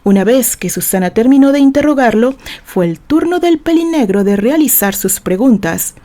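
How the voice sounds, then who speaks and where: A woman with a Mexican accent narrates an audio novel. Her voice is fairly high and mellow, with the dramatic scripted delivery of a storyteller. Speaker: author and narrator.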